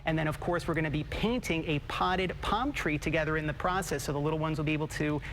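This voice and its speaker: A man from Buffalo, New York, speaking earnestly and warmly as a guest on a live TV morning show. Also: a little high, fast talker, slight background noise. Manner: earnest, upbeat, heartfelt. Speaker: guest, publicist for children's authors. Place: TV studio.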